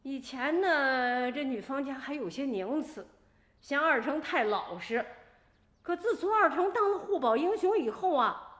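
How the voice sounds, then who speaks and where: A woman's voice: sits high, animated, measured pace, fairly bright tone, echoey room. An actress playing a kindly, meddling older village woman in a radio drama, homely and fussing as she chats. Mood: chatty. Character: kindly, chatty, meddling old village sister.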